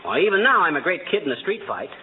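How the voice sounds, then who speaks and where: A man's voice: high voice, big pitch swings, fast. A man playing a boastful, blustering middle-aged husband in a 1940s radio comedy, folksy and ungrammatical. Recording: narrow-band, noisy vintage radio recording.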